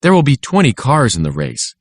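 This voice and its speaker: A man's voice: mid-range voice, huge pitch swings, brisk, no echo, studio quality, pitched above the performer's own voice. A man voicing a polite, eager boy in a children's storybook, earnest and upbeat. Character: polite, eager boy planning a soapbox race.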